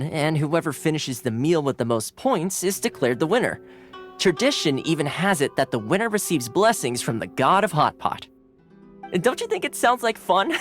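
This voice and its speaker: An easygoing young male retainer from a video game, breezy and playful. His voice is light and swings expressively in pitch, with music behind it. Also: fairly bright tone. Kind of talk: animated video game voice acting. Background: background music.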